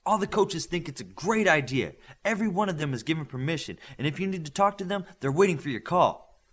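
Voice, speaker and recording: A man's voice: on the high side, lively intonation, a little fast, a bit bright, slightly rough, pitched above the performer's own voice. A man voicing an eager, gushing teenage superhero girl in a storybook reading. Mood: excited. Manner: enthusiastic, gushing.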